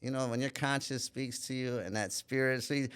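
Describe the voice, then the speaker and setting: A man's voice: medium pitch, fairly even intonation, a bit bright, clean tone. A man from Minneapolis talking as a podcast guest, telling his life story candidly and reflectively, often adding "you know". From Minneapolis, USA. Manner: pauses and restarts mid-thought. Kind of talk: casual life-story interview.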